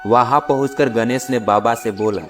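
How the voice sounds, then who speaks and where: A man with a mid-pitched voice tells a children's story. His delivery is scripted narration for a moral tale. Light music plays behind him. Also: steady tone, normal speed. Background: background music.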